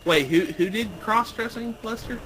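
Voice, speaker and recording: A man's voice: high voice, normal speed. A man chatting casually as a co-commentator during a video game playthrough. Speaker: let's play co-commentator. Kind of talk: casual gaming chat. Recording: faint background noise and ambience.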